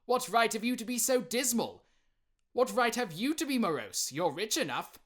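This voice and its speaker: Good-hearted young nephew for a storybook male reader performing: eager, earnest, lifted pitch, highly expressive swings. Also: normal speed, heavily acted. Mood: cheerful teasing. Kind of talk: dramatized audiobook reading of classic fiction.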